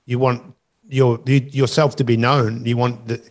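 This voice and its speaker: A man's voice: mid-range voice, little pitch movement, moderate pace. A middle-aged man speaking as a life coach and host, giving conversational, motivational talk with emphatic, rambling asides over an online call.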